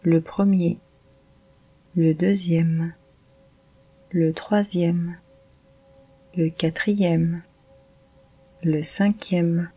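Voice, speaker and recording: A woman guiding a yoga nidra meditation in a calm, measured, soothing manner. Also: lowish voice, husky. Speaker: meditation guide.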